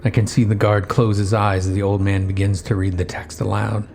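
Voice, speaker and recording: A man narrating a first-person horror story in measured, somber short phrases. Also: on the low side, level tone, gravelly, a little mellow, faint music behind.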